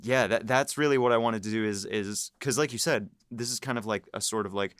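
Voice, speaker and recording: Male writer-host, mid-range pitch, smooth clear tone, dry close sound, chatty and animated with fillers. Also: brisk, dry recording. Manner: animated, lots of fillers, laughs while explaining. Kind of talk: casual behind-the-scenes chat.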